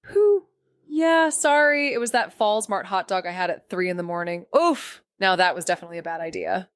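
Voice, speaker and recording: An actress playing a sardonic, restless young small-town amateur sleuth, narrating an audio drama in slangy first person. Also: female voice, mid-range voice, a little fast, smooth, no room sound, studio quality, close to an everyday voice. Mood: jokey, excited. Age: young adult. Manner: wry, sarcastic, slangy.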